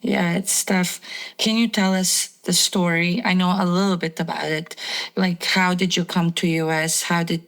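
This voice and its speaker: A woman's voice: lowish voice, flat delivery, normal speed. A young woman from Bosnia, hosting an interview podcast and asking friendly, short questions. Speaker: podcast host, tennis player. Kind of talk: casual interview.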